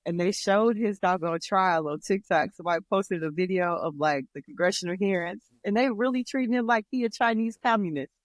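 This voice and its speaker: A woman's voice: fairly low pitch, no echo. An American woman co-hosting a podcast, chatting casually in a blunt, slangy way. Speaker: podcast co-host. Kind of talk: casual girl-talk chat.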